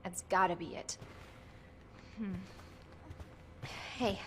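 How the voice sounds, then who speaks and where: A woman's voice: mid-range voice, steady tone, takes it slowly, a little hiss or hum, lightly acted. An actress playing a plucky, protective young woman in a video game, casual and reassuring. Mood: focused, hushed. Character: plucky, protective young woman survivor, warm toward child. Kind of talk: video game cutscene acting. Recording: music behind the voice.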